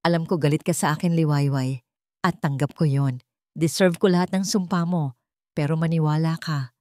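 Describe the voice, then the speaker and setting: A woman's voice: sits low, fairly even intonation, moderate pace, dark tone, dry recording, studio quality, close to an everyday voice. A woman playing a weary, remorseful man in a story drama, subdued and pleading. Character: weary, remorseful man, the estranged former husband.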